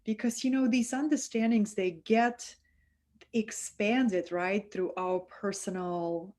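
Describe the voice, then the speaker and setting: A woman's voice: medium pitch, fairly even intonation, slow. Russian-accented woman hosting a spiritual stream. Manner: soft, affectionate, reads out viewer comments. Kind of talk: live-streamed spiritual talk.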